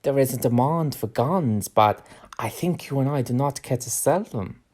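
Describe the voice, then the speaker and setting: A man's voice: mid-range voice, darkish tone, close to an everyday voice. Grave, moral male professor played by an actor. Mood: grave disapproval. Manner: formal, measured, disapproving. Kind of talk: period audio drama acting.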